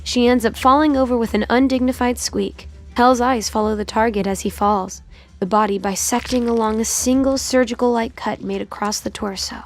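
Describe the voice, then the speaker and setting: A woman reading scripted, dramatic story narration for an audio drama. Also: a little high.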